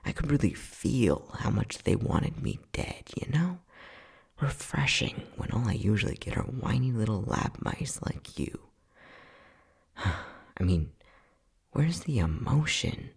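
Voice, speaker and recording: Male actor as mad scientist, very breathy and husky, creaky, sardonic, bossy, theatrically playful, ASMR roleplay. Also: mid-range voice, very animated, slow, darkish tone, full character voice. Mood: mocking, theatrical. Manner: sardonic, teasing, condescending, with frequent sighs.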